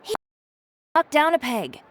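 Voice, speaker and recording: A woman playing a sharp-tongued, haughty but protective young woman in an anime game, composed and sardonic. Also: sits high, very animated, measured pace. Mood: composed, wry.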